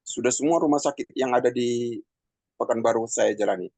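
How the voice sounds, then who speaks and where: A man in his fifties giving a personal testimony in a halting, conversational way, with many pauses and fillers. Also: mid-range voice, a little mellow, husky, no echo. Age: 50s. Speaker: testimony speaker.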